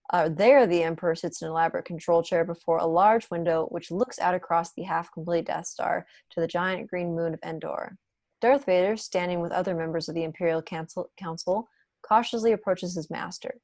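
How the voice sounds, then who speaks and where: A woman narrates a script's stage directions. The voice sits fairly low, and the sound is dry and close, as on a video call. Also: a little fast, darkish tone, dry recording.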